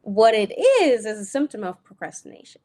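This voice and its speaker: A woman's voice: a little high, normal speed. A young adult woman from Germany with an American accent, talking directly and energetically as a business coach in a solo live video. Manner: energetic, direct, casual with occasional swearing.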